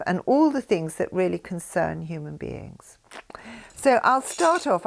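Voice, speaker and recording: Older woman reading, low creaky voice. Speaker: reader of her mother's written messages. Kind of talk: reading aloud a spiritual text with brief asides.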